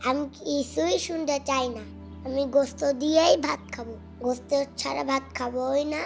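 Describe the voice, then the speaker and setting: Casting: woman as a whiny small boy, high and gravelly, music behind. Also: fairly even intonation, normal speed. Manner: whiny, pleading. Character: poor little boy, stubborn but sweet. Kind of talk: homemade cartoon voice acting.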